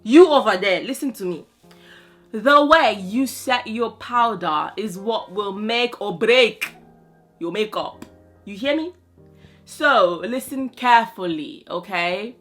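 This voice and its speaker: Sassy, bright-voiced young woman vlogger. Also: medium pitch. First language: French. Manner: sassy, playful, chatty with slang asides. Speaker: beauty vlogger. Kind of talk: casual makeup tutorial.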